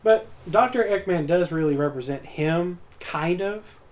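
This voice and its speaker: A man's voice: high-pitched, unhurried. A man co-hosting a game playthrough, chatting casually and reacting to the gameplay. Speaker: let's play co-host. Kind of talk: casual chat over gameplay.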